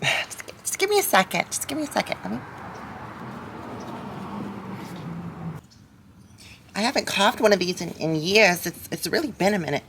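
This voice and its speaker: A woman voicing a nasal suburban cartoon mom who is nervous and edgy, chatting haltingly as if hosting a craft vlog. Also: medium pitch, animated, noisy background, lightly acted. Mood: impatient, strained. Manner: halting, chatty, rambling with salty asides. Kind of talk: parody craft vlog in character.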